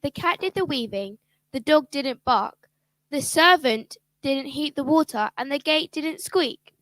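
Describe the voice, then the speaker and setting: A woman's voice: high voice, expressive, unhurried, fairly bright tone, no room sound. A caring, protective father. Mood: concerned. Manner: concerned, indignant. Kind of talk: children's radio drama.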